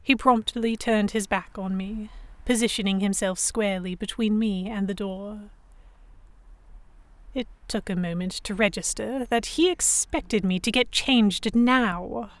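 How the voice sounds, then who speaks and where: A woman playing a young Victorian noblewoman captive, narrating her story in a measured, literary first person. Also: medium pitch, fairly even intonation, no echo, close to an everyday voice. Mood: weary, reflective. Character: young English noblewoman captive, reflective, stubborn, Victorian era. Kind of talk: first-person scripted narration in an audio drama.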